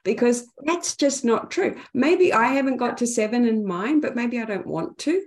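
A woman's voice: a little high, lively intonation, moderate pace. Confident New Zealand woman coach. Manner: candid, confident, reflective. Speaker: business coach, guest. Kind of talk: podcast interview conversation.

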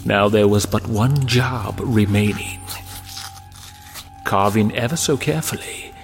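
A man as a slow, sinister storyteller. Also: lowish voice, vocal fry, soft background music. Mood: ominous. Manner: deliberate, sinister. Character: slow, ominous fireside horror storyteller. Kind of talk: scripted horror narration.

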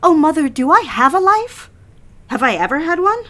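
A woman's voice: high-pitched, very animated, normal speed, pushed and bright, exaggerated voice. An actress playing a hurt, defiant young woman in an audio drama, emotional and defensive with her mother. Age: young adult. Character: defiant, hurt young secretary daughter having an affair.

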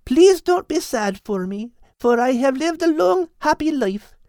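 A man voicing a gentle, earnest male animal in an audiobook, speaking in a measured and tender way. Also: very high-pitched, expressive, no room sound, studio quality, voice pushed up, heavily acted. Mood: tender, solemn. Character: gentle male animal friend solemnly relaying dying words. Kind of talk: audiobook character voice.

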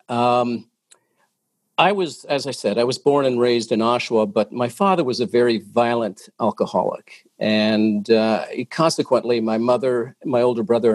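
A middle-aged Canadian man, a detective and hostage negotiator, telling his story in a measured, matter-of-fact way as a podcast guest, with many fillers. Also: medium pitch, no echo. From Ontario, Canada.

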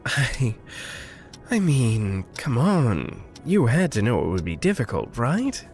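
A man acting in a naturalistic audio drama as a gentle, wry confidant with a halting, playful way of talking. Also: medium pitch, very animated, soft background music. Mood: gentle concern.

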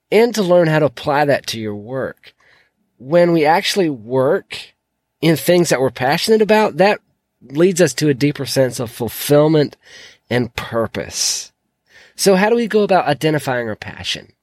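A man hosting a solo podcast, his voice croaky from a sore throat, talking in an earnest, encouraging way. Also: a little high, no echo, studio quality. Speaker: podcast host.

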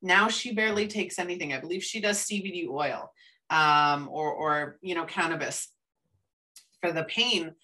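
Canadian woman co-host, eager and chatty, keeps restarting her thoughts, bright tone, sits a little off the mic. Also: lowish voice, moderate pace, distant mic. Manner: enthusiastic, rambling, frequent restarts. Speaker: podcast co-host. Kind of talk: casual podcast chat.

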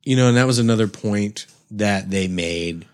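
A man's voice: on the low side, moderate pace. A middle-aged man co-hosting a podcast in a laid-back, rambling casual chat, chuckling now and then. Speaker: podcast co-host.

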